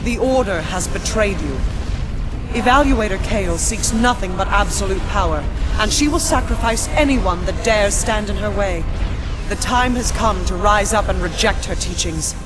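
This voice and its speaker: A woman's voice: medium pitch, expressive, normal speed, music in the background, natural voice, rough recording. An actress playing a regal, defiant princess in a video game, addressing her people in a solemn, declamatory way. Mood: impassioned, resolute. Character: regal young princess, defiant rightful leader. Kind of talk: video game cutscene speech.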